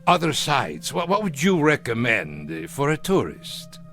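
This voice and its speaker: A man's voice: fairly high pitch, huge pitch swings, a little slow, a bit bright, soft background music, heavily acted. An actor playing a smug middle-aged German former Nazi officer who poses as a tourist, coy, falsely casual and hesitant in his probing. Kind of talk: radio drama acting.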